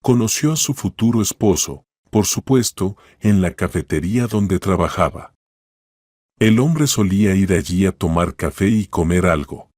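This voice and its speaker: A man's voice: low voice, lively intonation, normal speed, darkish tone, no echo, clean studio sound. A man reading a scripted story as a narrator.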